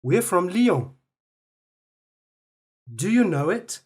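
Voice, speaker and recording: A woman reading short phrases slowly and carefully for language learners to repeat. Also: lowish voice, big pitch swings, a little mellow, husky. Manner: reads each phrase slowly and carefully, with pauses for repetition. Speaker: phrase recording voice. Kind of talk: slow, clear phrase drills for learners.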